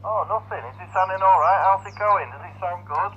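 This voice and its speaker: A man's voice: high-pitched, brisk, breathy edge. A man chatting casually with a young child, heard through a walkie-talkie radio. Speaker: father testing a walkie-talkie with his child. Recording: muffled walkie-talkie, loud background voices.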